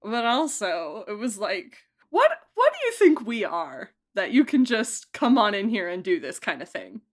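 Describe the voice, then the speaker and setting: A woman producer of an audio drama, chatting casually in an interview, animated and laughing often. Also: normal speed. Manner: animated, laughs often, jumps up high in pitch for emphasis.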